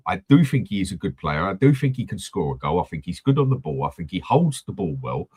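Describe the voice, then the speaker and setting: A man's voice: sits low, very animated, fast, dark tone, slightly rough. A man hosting a casual football fan chat, blunt and swearing freely. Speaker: host of a football fan channel.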